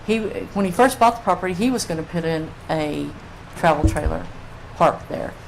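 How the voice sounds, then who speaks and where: A woman's voice: lowish voice, expressive, normal speed, gravelly, low-quality recording. An older woman giving informal public testimony as a resident. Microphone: public comment microphone. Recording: faint room noise on meeting mic.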